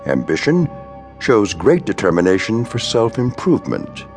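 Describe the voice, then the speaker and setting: Authoritative eerie-tale narrator, lowish voice, wry, measured, very varied intonation, radio drama. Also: male voice, huge pitch swings, a little mellow, soft background music. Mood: wry, ominous. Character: sardonic, authoritative male narrator of eerie tales.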